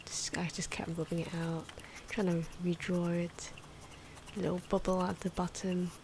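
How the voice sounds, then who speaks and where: Woman, soft ASMR narration, breathy half-whisper, lowish pitch, hesitant unhurried delivery, noisy ambient recording. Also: little pitch movement. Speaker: ASMR video creator narrating own drawing. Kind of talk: soft-spoken ASMR drawing commentary. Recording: noisy, pencil scratching and ambient sound. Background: pencil and pen noises, outdoor wind.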